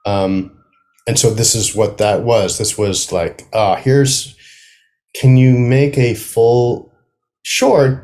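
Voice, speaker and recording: Male playwright guest, lower-pitched, conversational, searches for words as he goes. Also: a little mellow. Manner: halting, thinking aloud. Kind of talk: casual interview conversation.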